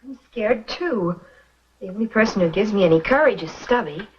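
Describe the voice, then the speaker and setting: Husky, nervous frontier young woman. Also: mid-range voice, lively intonation, normal speed. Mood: anxious. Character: nervous young frontier woman, outspoken about her suitors. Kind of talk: old-time western radio drama acting. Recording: muffled old radio recording.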